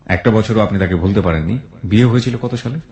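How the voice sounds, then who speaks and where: A man's voice: lowish voice, fast talker, a little mellow. A Bangladeshi man hosting a radio show, talking in a reflective, philosophical way to a guest and listeners. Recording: roomy echo on the voice.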